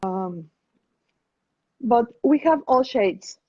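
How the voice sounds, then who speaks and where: A woman's voice: a little high, animated, drawn-out words, mellow, husky, no room sound. Mexican-accented woman, dry dull call audio. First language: Spanish. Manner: thoughtful, searching for words with fillers. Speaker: guest. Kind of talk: casual conversation.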